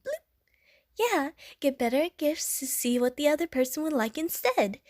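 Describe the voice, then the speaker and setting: Woman voicing a high-pitched, bubbly water slime girl. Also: very animated, moderate pace, a little mellow, no room sound, clean studio sound. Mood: earnest, sweet. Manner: childlike, playful, gushing, punctuated with little bloop noises. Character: bubbly, innocent young female water slime creature. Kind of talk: audio roleplay addressed to the listener.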